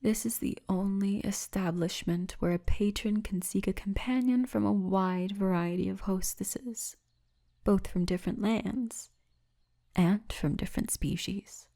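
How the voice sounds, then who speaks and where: A woman's voice: mid-range voice, a little slow, dark tone, close to an everyday voice. A woman playing a poised, seductive orc tour guide in an ASMR fantasy roleplay, teasing and often half-whispering. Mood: inviting, teasing.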